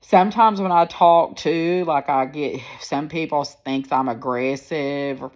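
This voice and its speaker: A woman in her sixties with a deep, firm voice, talking candidly and rambling a little as she speaks to her viewers into a phone. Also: a little slow, a little mellow. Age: 60s. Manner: candid, rambling, plain-spoken. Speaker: personal vlogger. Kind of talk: casual personal storytelling to viewers.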